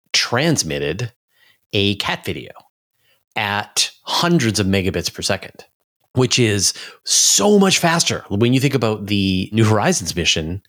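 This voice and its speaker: A man's voice: sits low, a bit bright. A man speaking as a podcast guest, explaining space science in an enthusiastic, informal conversational way.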